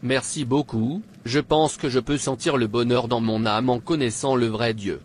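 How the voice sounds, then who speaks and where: Guy doing a devout cartoon king, earnest n reverent, normal pitch, bit of noise behind. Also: fairly even intonation, moderate pace, close to an everyday voice. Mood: grateful, prayerful. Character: pagan king, a meek and fervent new convert. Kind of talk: scripted cartoon dialogue.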